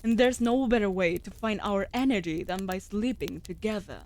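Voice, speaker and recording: A woman voicing a female wolf familiar in a fantasy comfort audio drama, coaxing softly with dry, teasing banter. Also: mid-range voice, normal speed, a little hiss or hum, lightly acted. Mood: caring, coaxing. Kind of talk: scripted comfort audio roleplay.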